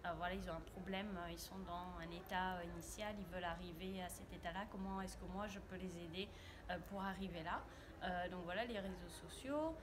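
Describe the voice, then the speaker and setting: A woman's voice: on the low side, steady tone, fairly quick, background noise. A French woman being interviewed about her work as a private French tutor, speaking earnestly with frequent hesitations and filler sounds. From France. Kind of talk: casual interview answers.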